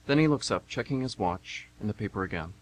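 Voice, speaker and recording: A man's voice: lowish voice, animated, fairly quick, poor audio. A man reading scripted narration and announcements for a radio theatre broadcast.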